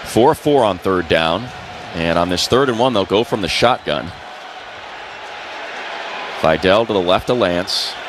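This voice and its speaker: An American man works as a play-by-play announcer on a football radio broadcast. His voice sits low, and there is clear stadium noise behind him as he calls the action. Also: animated, unhurried, pauses often, crowd noise. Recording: noisy stadium booth broadcast. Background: stadium ambience.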